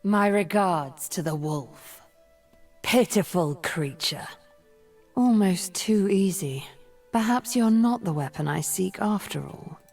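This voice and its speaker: A woman playing a cold, scheming sorceress who leads a secret cabal in a video game, speaking with haughty, deliberate menace. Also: medium pitch, lively intonation, darkish tone, faint music behind. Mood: mocking. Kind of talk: video game character voice lines.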